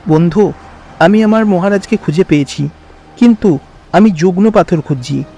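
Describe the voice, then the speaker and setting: A man's voice: high-pitched, moderate pace, mellow, lo-fi sound, slight background noise. A man voicing a brave, kind, eager village boy in a fairy-tale cartoon. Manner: earnest, eager.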